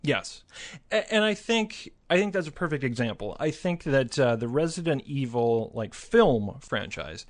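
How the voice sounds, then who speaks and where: Guy on a movie podcast, medium pitch, kinda halting, thinks out loud. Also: sing-song. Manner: halting, repeats words, thinks aloud. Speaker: film podcast panelist. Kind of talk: casual film discussion.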